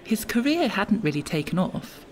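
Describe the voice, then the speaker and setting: Woman playing stern, animated museum official. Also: medium pitch, normal speed, a little hiss or hum, lightly acted, rough recording. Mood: curt, precise. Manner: formal, curt, correcting. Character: brisk, stern, precise female museum official. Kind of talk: audio drama acting.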